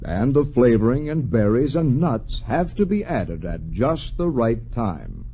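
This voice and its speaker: Plainspoken factory worker explaining. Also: male voice, medium pitch, big pitch swings, unhurried, slight background noise. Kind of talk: 1940s radio acting. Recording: dull old radio broadcast.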